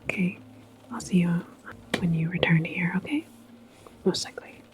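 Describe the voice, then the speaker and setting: A woman performing an ASMR roleplay as a station attendant, speaking in a breathy whisper with a gentle, reassuring, unhurried manner. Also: fairly low pitch, fairly even intonation, noisy background.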